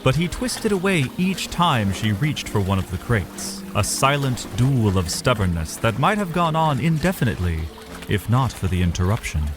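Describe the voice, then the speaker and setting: A man's voice: mid-range voice, sing-song, normal speed, over music. A man narrating a scripted fantasy story as a literary, dramatic storyteller.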